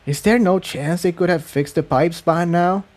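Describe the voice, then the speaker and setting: A man voicing a gentle young female pony in a fantasy story, anxious but supportive, with soft, earnest delivery. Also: high-pitched, moderate pace, voice pushed up, lightly acted. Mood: worried questioning.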